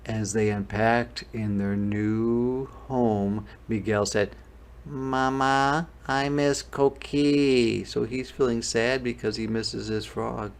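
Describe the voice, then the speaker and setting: Male teacher for interactive storybook reading: measured pace, explanatory delivery, some vocal fry. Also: fairly low pitch, level tone, darkish tone. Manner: explanatory, pausing to explain words and pictures. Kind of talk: interactive children's storybook read-aloud.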